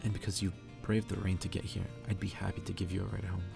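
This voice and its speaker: A man softly reading the lines of an easygoing, kindly male schoolteacher from a story. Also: low voice, level tone, fast, gravelly, over music. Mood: mild coaxing.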